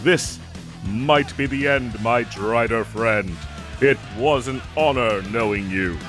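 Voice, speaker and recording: A man's voice: medium pitch, slow, music in the background, lightly acted. A man playing a gallant, theatrical knight with a playful streak and formal, archaic phrasing in a fantasy audio roleplay. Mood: urgent, excited.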